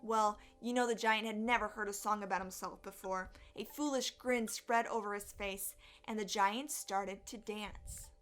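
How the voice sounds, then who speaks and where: A woman reading a children's story aloud in an expressive, deliberate storyteller's way. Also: mid-range voice, faint music behind. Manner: animated, deliberate storytelling with acted sound effects. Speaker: storyteller, elementary music teacher.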